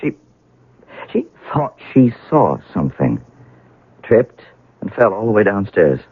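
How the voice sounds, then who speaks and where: Grieving heir, wry, bitter, hesitant, husky, 1970s radio play, thin band-limited broadcast sound. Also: male voice, lowish voice, very animated, a little slow, dry recording, full character voice. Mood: bitter, frank. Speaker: actor in a radio mystery play. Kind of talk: 1970s radio drama acting. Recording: narrowband old radio broadcast, dry.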